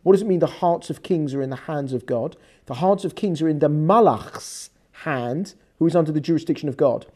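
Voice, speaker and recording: A woman with an English accent giving a lively, conversational religious lecture, acting out quoted lines as she explains. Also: low-pitched, a little fast. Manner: animated, conversational teaching with quoted dialogue. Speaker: Torah teacher.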